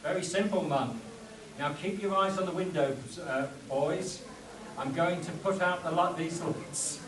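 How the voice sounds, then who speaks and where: A man's voice: fairly high pitch, a little slow, distant mic, crowd noise, close to an everyday voice. A man playing an earnest, commanding pulp adventure hero in a spoof radio play, stumbling over his script. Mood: explaining, earnest. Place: convention stage before an audience. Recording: echoey convention room from afar, audience noise.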